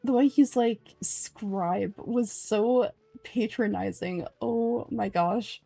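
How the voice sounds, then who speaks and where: A woman's voice: a little high, takes it slowly, vocal fry, warm tone, husky, no echo, faint music behind. A young adult woman giving casual, slangy and playful commentary while playing a video game. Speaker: game streamer doing voice-overs.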